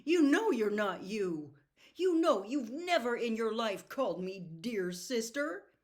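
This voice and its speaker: A headstrong, frightened teenage girl from a storybook, performed by a woman reader. She is defiant and emotional, pushing her voice louder and higher than plain narration. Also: very animated, slow. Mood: defiant, angry. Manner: defiant, emotional, loud outbursts. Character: stubborn, frightened, fiery adolescent girl. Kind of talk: audiobook character voice.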